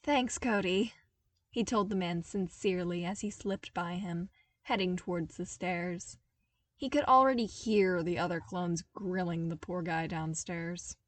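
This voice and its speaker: A woman's voice: lowish voice, steady tone, measured pace, no echo. Woman narrating a story, slightly distant mic. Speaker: podfic narrator reading fan fiction. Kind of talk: expressive scripted story narration.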